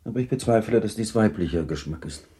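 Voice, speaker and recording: Actor as stiff old-world baron type in a radio play, deep mellow voice, dry irony, very precise. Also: male voice, expressive, fast, lightly acted. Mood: dry, ironic. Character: stiff, ironic aristocratic gentleman of the nineteenth century. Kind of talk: German literary radio drama acting.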